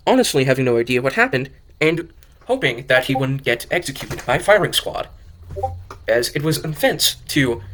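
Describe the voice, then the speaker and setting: A man's voice: medium pitch, fairly bright tone, slight background noise, low-quality recording. A man narrating a fan audio drama as an amateur, often stumbling over and repeating words as he reads. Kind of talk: amateur story reading.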